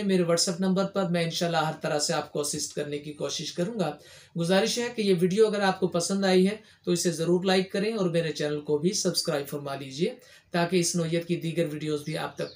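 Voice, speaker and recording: A man with a Pakistani accent explains points of law in an informal lecture, repeating and rephrasing his key points. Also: high-pitched. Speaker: lawyer presenting legal explainer videos.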